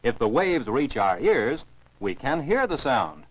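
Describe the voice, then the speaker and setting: A man's voice: mid-range voice, very animated, a little slow, dry recording. An American man narrates scripted science for children as an educational film narrator, in a didactic, carefully enunciated way. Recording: dull, dry 1949 film soundtrack.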